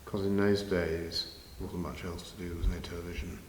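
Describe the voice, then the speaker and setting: A man's voice: sits low, flat delivery, fairly quick, a little mellow. An older man reminiscing unscripted as an interviewee. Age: 50s or older. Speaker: interviewee, long-time pantomime band member. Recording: echoey hall, long reverb, faint noise.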